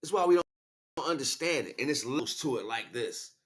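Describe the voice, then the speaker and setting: A man delivering an impassioned, improvised spiritual monologue, preachy and grandiose, swinging between hushed asides and loud, emphatic declarations. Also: mid-range voice, lively intonation, moderate pace. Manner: preachy, grandiose, repeats phrases for weight. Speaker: spiritual speaker, streamer.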